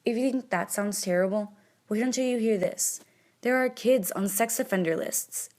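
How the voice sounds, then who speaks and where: A woman's voice: medium pitch, normal speed, a little mellow. A woman presenting a scripted explainer, speaking directly to the listener.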